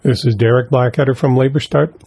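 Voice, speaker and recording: Mellow-voiced male news correspondent. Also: medium pitch, steady tone, a little fast, vocal fry, husky, no room sound. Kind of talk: scripted news report.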